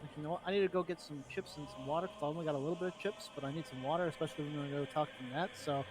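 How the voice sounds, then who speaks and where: A man's voice: a little high, racing. A man bantering casually in an online roleplay game, with a teasing way of talking.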